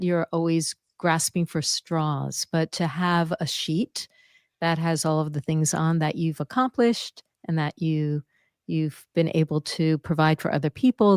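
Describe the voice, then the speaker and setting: A woman hosting an interview, speaking softly and thoughtfully. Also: deep voice, measured pace, smooth, no echo, studio quality. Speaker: podcast host. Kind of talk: conversational interview.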